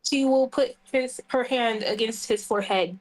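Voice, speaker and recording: A woman's voice: fairly high pitch, moderate pace, a crowd in the background, low-quality recording. A woman chatting casually as she plays a tabletop roleplay game.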